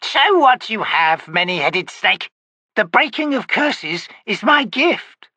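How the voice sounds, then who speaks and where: Reader doing a cackling toothless old witch, high and bright, swoops all over, kinda breathy. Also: sing-song, dry recording, exaggerated voice. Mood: cackling, cryptic. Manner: hissing, shrieking, slow cryptic pronouncements.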